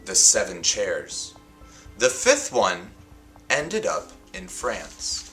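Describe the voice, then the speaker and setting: A man hosts a storybook read-aloud in a lowish, very animated voice. He phrases each line dramatically and deliberately, leaving emphatic pauses. Faint music plays behind him. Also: low-quality recording. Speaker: host reading a picture book aloud and setting a writing challenge.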